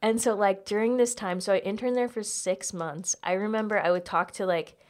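A woman's voice: medium pitch, fairly even intonation, fairly quick. A young American woman telling personal stories in a casual chat, informally, peppering her sentences with "like" and swearing freely. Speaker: podcast guest, comedian.

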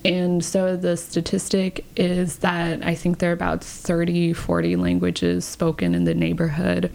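A woman's voice: fairly low pitch, level tone, measured pace, creaky, a little mellow. A woman in her thirties from Texas, an artist talking casually in an interview with many fillers. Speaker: artist guest.

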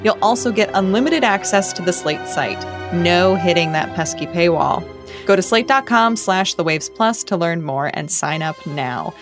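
A woman's voice: on the low side, animated, normal speed. A woman podcast presenter reading a scripted promotional announcement to listeners. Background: music.

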